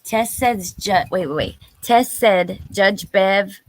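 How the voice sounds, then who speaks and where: A woman's voice: mid-range voice, takes it slowly, no echo, low-quality recording. A woman in her thirties hosting a live stream, chatting informally and exclamatorily with viewers and reading out their comments.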